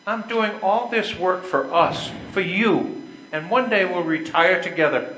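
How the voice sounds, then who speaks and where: A man's voice: high-pitched, unhurried, bright, forceful tone. An older man playing a proud, work-absorbed husband in amateur readers theater, speaking somewhat formally. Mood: formally apologetic. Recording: echoey, noisy room recording.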